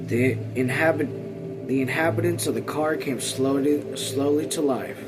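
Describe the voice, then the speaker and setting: A man reading a novel aloud informally, halting now and then and repeating phrases. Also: mid-range voice, noisy background. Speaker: reader of a read-along video channel.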